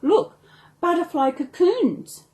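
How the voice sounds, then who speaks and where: A woman's voice: very high-pitched, lively intonation, takes it slowly, darkish tone, pitched above the performer's own voice, heavily acted. A woman voicing a polite, eager little boy gumnut creature in a children's storybook.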